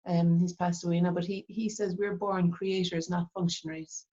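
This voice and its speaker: A middle-aged woman with an Irish accent from Cork, talking reflectively in an interview and pausing often with fillers and restarts. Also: lowish voice, level tone, dark tone, muffled recording. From Ireland. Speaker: artist, interview guest. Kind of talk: casual interview talk.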